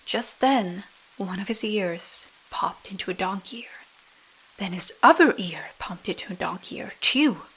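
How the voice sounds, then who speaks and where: A woman narrating a bedtime story in soft, breathy, soothing ASMR style. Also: mid-range voice, poor audio. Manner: slow, soothing. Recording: rain sounds behind the voice. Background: rain.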